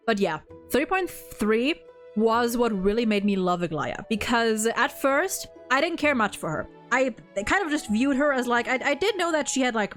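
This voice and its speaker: A woman in a casual, excited fan discussion, effusive and slangy, rambling with lots of repeated "likes". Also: a little high. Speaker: video host discussing a game.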